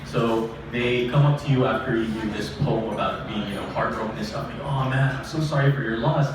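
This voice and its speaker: A man's voice: medium pitch, a little fast. Echoey young man performing spoken-word poetry. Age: young adult. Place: small live open-mic venue stage. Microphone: stage microphone, captured from a distance. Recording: very echoey live room, distant, noisy.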